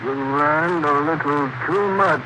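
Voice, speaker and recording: Actor as a stern, slow-talking prison official. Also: male voice, a little high, close to an everyday voice. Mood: grave, resigned. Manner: grave, measured. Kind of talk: 1940s radio drama acting. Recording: very noisy vintage 1940s radio recording.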